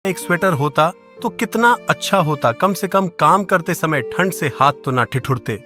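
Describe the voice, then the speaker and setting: Guy doing a poor tired woodcutter dad from a cartoon story, highish voice, plaintive, bit of music behind. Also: lively intonation, moderate pace, bright, forceful tone. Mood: suffering from cold. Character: poor, weary, kind woodcutter, family man. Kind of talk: cartoon moral story dialogue.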